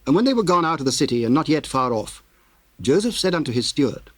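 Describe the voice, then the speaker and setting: A man narrating scripture in a formal, measured storytelling manner. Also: a little high, big pitch swings, no echo. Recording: noisy old cassette tape.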